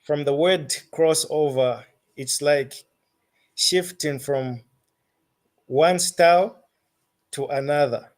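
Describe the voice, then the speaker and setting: A man with a Zambian accent, a musician answering interview questions in an earnest, reflective way. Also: on the high side. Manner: earnest, reflective, unhurried with pauses. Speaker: musician guest.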